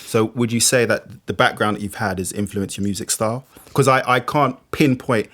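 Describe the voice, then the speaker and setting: A man's voice: on the low side, normal speed, fairly bright tone. A man hosting an interview in a casual, friendly way, asking questions and dropping short "yeah"s and "cool"s. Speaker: host.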